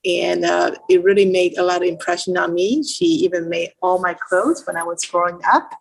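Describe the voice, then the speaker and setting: Lower-pitched middle-aged woman, Taiwanese accent. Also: gravelly, husky, rough recording. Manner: halting, non-native phrasing. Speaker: interview guest, nonprofit founder. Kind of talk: conversational personal storytelling.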